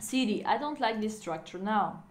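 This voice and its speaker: A woman's voice: medium pitch, expressive, moderate pace, heavy background noise. Chatty woman. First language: Bulgarian. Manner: chatty, laughing, non-native phrasing. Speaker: chess streamer. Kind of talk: casual live-stream commentary. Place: indoor room at home.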